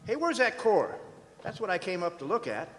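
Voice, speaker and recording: A man plays an easily spooked geologist in a radio drama. His voice is high and very lively in pitch, with colloquial, halting phrasing. It echoes through a hall. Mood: weary, puzzled. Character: jittery young field geologist, easily spooked. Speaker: actor in a radio play. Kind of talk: stage radio drama acting. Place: performing arts center stage. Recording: reverberant hall.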